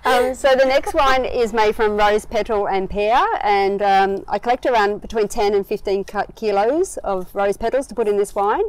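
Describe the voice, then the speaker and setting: A woman in her forties with an Australian accent, a winery owner chatting casually in an interview, relaxed and full of ums. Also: medium pitch, normal speed, hardly any pauses, poor audio. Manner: relaxed, many ums, easy laughs. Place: outdoor garden verandah.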